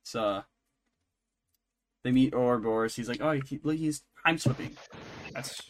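A man with a mid-range voice talks casually as a podcast co-host. His delivery is halting, with trailing phrases and fresh starts. Clear noise and small clicks sit in the recording. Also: mid-range voice. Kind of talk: casual unscripted recap and review chat.

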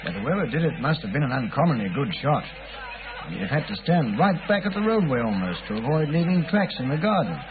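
British victorian copper, matter of fact but puzzled, noisy tinny old radio sound. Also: male voice, a little high, sing-song, fairly quick. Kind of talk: 1950s radio drama acting.

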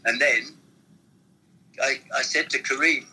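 A man's voice: mid-range voice, measured pace, bright, forceful tone, slightly rough, poor audio. An older man, a former football manager, telling rambling stories from his past in an interview. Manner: rambling anecdotal storytelling. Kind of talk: reminiscing interview.

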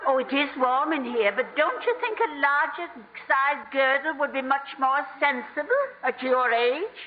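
A woman's voice: high voice, expressive, moderate pace. An actress playing a chatty, genteel, inquisitive old lady in a 1950s radio comedy. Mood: nosy. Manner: nosy, genteel. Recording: dull, noisy old radio recording.

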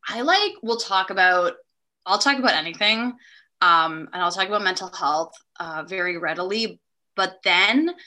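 A woman's voice: medium pitch, bright, forceful tone. A woman comedian speaking candidly as a guest in a casual podcast conversation. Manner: candid, quick-talking.

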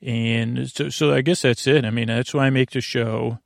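A man with a creaky voice hosting a bedtime podcast in a meandering, halting, earnest monologue that keeps interrupting itself. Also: mid-range voice, fairly even intonation, dry recording, clean studio sound. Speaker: podcast host.

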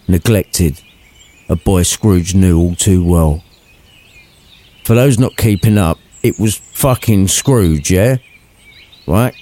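A man's voice: deep voice, mellow. A man narrating a scripted story in an irreverent way, with cheeky, sweary asides to the listener.

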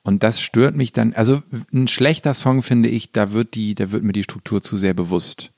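Reflective man, low creaky voice. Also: fairly even intonation, dry recording, studio quality. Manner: reflective, halting, searching for words. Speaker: guest musician. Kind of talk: casual conversational interview talk.

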